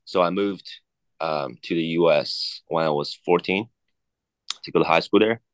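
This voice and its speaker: A man in his thirties from China, a native Cantonese speaker, talking haltingly as an interview guest with many fillers and restarts. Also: low-pitched, no room sound. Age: 30s. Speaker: guest, watch collector. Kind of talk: casual interview chat.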